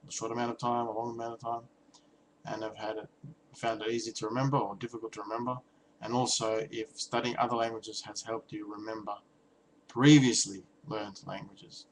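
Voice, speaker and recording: Young male vlogger, low-pitched, halting and reflective, slight background ambience. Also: level tone, slight background noise, poor audio. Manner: hesitant, many restarts and ums, reflective. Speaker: vlogger and language learner. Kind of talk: casual talking-head vlog.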